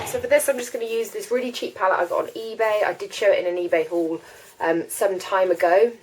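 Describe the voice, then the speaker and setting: Young woman host, stuffy head cold, chatty and relaxed, home tutorial feel. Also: medium pitch, slight background noise. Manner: chatty, many ums and likes. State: congested with a cold. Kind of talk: casual how-to chat.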